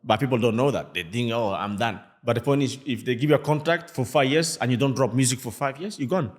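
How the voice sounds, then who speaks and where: A young man from Mali speaking English as a second language, a music artist chatting as a podcast guest, animated and acting out the people he quotes. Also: mid-range voice. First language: a Malian language. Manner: fast, animated talk in non-native English, acts out quoted people. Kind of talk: casual podcast chat.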